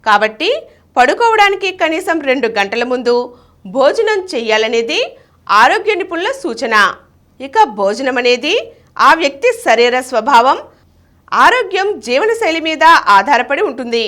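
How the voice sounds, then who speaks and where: High, bright woman newsreader. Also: very animated, normal speed. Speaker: news reader. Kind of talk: scripted news bulletin narration.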